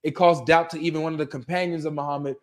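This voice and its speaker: A man's voice: on the high side, steady tone, quick pace. A man co-hosting a casual religious debate chat, emphatic and urging viewers on, often ending phrases with "man". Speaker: co-host.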